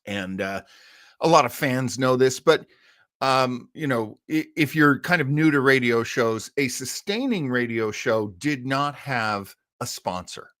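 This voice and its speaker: A man's voice: mid-range voice, unhurried, fairly bright tone, dry recording, studio quality. A man hosting an old-time radio listening show, chatting casually in a rambling way full of asides. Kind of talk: casual live-stream chat.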